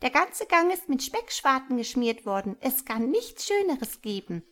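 A woman voicing a small, polite female mouse in a storybook reading, in a high squeaky voice. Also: big pitch swings, moderate pace, fairly bright tone. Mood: eager.

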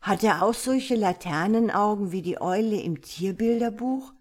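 A woman's voice: medium pitch, normal speed, darkish tone, natural voice. A woman voicing an innocent, curious little boy in a storybook, with a pleading, childlike way of asking. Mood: eager, excited. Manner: pleading, wide-eyed, childlike questioning. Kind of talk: storybook character voice.

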